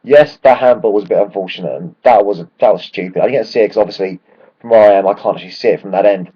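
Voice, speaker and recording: A man, hoarse from shouting at a match, ranting excitedly and swearing freely in a casual football vlog. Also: on the low side, very fast, muffled recording. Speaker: football fan vlogger.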